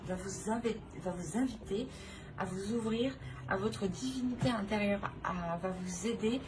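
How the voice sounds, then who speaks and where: A woman giving an informal spiritual tarot reading, halting and repeating herself as she searches for words. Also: medium pitch. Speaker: tarot reader hosting a channel. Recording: clearly noisy recording with ambient sound.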